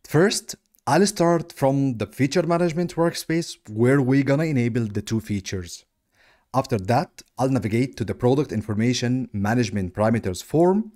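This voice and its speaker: A man whose first language is Arabic, narrating a step-by-step software tutorial in a steady, explanatory way. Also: medium pitch. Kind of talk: screen-recorded software walkthrough.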